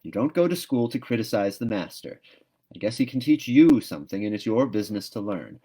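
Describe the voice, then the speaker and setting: Guy playing a strict no-nonsense older lady from a storybook, curt, sharp scolding. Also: lowish voice, very animated, a little fast, breathy edge. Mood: stern, scolding. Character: stern, no-nonsense older woman guardian.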